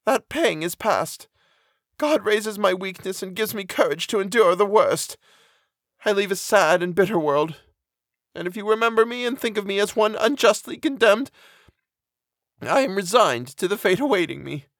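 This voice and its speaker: Man voicing a high-pitched, mournful young woman. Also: expressive, normal speed, a bit bright, no echo, clean studio sound. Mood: mournful, resigned. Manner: earnest, tender, formal old-fashioned phrasing. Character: gentle condemned young woman, mournful, resigned and pious. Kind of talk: dramatic audiobook character reading.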